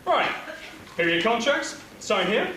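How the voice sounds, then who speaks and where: A gleefully exploitative panto villain, high and swooping in pitch. He is mock-polite and taunting, projecting theatrically to the house. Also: sing-song, unhurried, pushed and bright, heavily acted. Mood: gleeful menace. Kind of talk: theatrical pantomime stage acting. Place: theatre or hall stage, live performance. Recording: echoey hall stage recording, faint noise.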